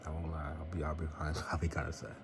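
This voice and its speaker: Young man, very low voice, earnest preachy vlogger, noisy home recording. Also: monotone, very fast. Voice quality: very deep voice. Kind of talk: casual faith-based vlog talk.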